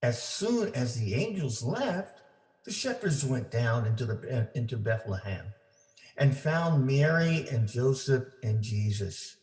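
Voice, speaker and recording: Slow-reading male storyteller in a roomy space. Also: medium pitch, a little mellow. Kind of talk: read-aloud children's Christmas story. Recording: roomy, echoing indoor sound.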